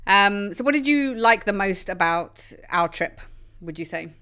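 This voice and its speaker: A woman's voice: medium pitch, expressive, a little slow, pushed and bright, clear, resonant tone. A woman co-hosting a podcast in a studio, asking questions and laughing often in casual chat. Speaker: podcast co-host.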